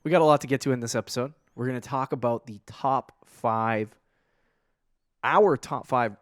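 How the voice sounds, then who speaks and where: A man's voice: medium pitch, normal speed, no echo, studio quality. A man from New England co-hosting a casual fantasy football podcast, loose and laughing, often trailing off and restarting. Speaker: podcast co-host. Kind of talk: casual sports chat.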